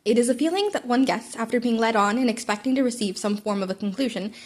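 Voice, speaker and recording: Higher-pitched woman reading a story aloud. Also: fast talker, darkish tone. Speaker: amateur audiobook reader. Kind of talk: narrating fan fiction aloud.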